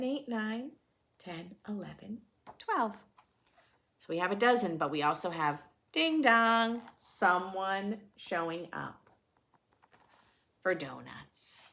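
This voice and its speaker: A woman reads a picture book to young children like a preschool teacher. Her pitch swings widely and expressively, and she takes her time over each phrase. There is noticeable creak in her voice, and she often turns to the listener with playful questions. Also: expressive, slow pace, a bit bright. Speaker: children's read-aloud teacher. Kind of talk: expressive storybook read-aloud with teaching asides.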